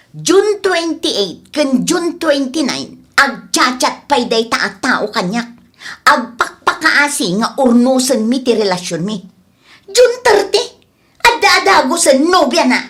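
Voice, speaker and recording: A woman's voice: high voice, sing-song, takes it slowly, fairly bright tone, heavily acted. A woman playing a scorned young woman in an Ilocano comedy drama, exclamatory and sarcastic in manner. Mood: hurt, disbelieving.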